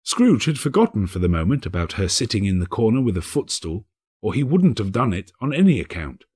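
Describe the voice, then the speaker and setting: Expressive, husky male storyteller. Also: fairly low pitch, fairly quick. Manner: theatrical, expressive, emphatic. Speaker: audiobook narrator, actor. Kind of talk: scripted dramatic storytelling.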